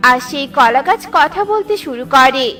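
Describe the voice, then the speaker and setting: Woman narrator for kids cartoon tale, high voice, music playing behind. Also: lively intonation, normal speed. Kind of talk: scripted children's story narration.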